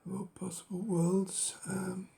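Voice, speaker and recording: A hoarse middle-aged man with a cold, ambling slowly through a casual chat and pausing on every um. His voice is gravelly and creaky, and it often sinks to a breathy whisper. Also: high-pitched, monotone, warm tone. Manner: halting, many ums and uhs, drops to a whisper. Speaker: book collector vlogger. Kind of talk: casual show-and-tell of books.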